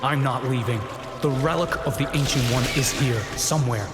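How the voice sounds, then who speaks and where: A man's voice: mid-range voice, moderate pace, close to an everyday voice. A man playing a stubborn, driven relic seeker in a video game, with a defiant, intent manner. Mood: defiant, obsessed. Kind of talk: scripted video game dialogue. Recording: long echoing reverb under loud music.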